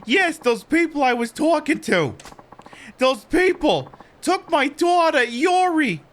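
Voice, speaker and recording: A man voicing a distraught mother in a video game, pleading and fretful in a halting way. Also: extremely high pitch, big pitch swings, a bit bright, a little hiss or hum, pitched above the performer's own voice, full character voice. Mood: anxious, pleading. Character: distraught, pleading mother of a college-age daughter.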